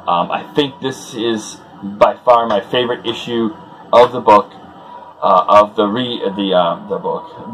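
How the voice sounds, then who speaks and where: A man's voice: on the low side, a bit bright, low-quality recording. A man hosting a comic read-along, retelling the story off the cuff with informal, chuckling fan enthusiasm and loosely acted dialogue. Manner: acts out dialogue loosely in his own voice.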